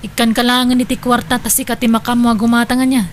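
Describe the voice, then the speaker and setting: A woman plays a grown daughter in an audio drama, high in pitch and firm in delivery. She has an Ilocano accent and sounds devoted, defiant and insistent. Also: little pitch movement, fast, slight background noise, close to an everyday voice. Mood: generous, caring.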